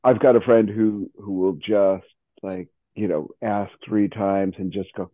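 A man's voice: lowish voice, steady tone, a little slow, no room sound. Man chatting over a phone-like line. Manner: chuckling, conversational. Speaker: radio show host. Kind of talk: casual chat. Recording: narrow phone-like radio line.

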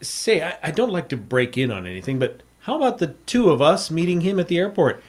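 A man's voice: on the high side, big pitch swings, a little fast. An actor playing a hard-boiled, sly American freelance newspaperman, with a clipped, wry delivery in an old-time radio drama. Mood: casual, probing. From United States, San Francisco. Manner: clipped, wry, world-weary storytelling. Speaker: lead actor and narrator. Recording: vintage radio broadcast.

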